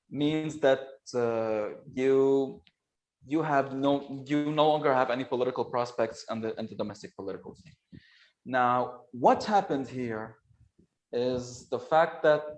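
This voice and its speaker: A man speaking as a guest expert in a remote interview, analytical but halting, with frequent fillers and restarts. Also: medium pitch, darkish tone. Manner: hesitant, many restarts and fillers, quick laughs. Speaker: guest expert on Iranian affairs.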